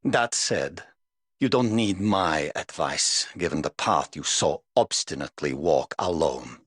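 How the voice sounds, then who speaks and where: An English actor voicing a weary, aloof middle-aged knight in a game, speaking in a dry, measured, world-weary way. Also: male voice, very deep voice, lively intonation, a bit bright, breathy edge, dry recording, full character voice. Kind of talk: game voice lines.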